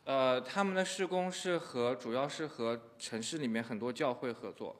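A man's voice: mid-range voice, moderate pace. A man interpreting a sermon into another language in a church hall, hesitant and full of fillers. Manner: hesitant, many filler sounds. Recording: echoey hall.

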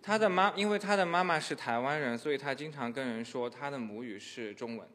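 A man's voice: mid-range voice, fast, a bit bright. A man interpreting a sermon into another language in a church hall, hesitant and full of fillers. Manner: hesitant, many filler sounds. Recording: echoey hall.

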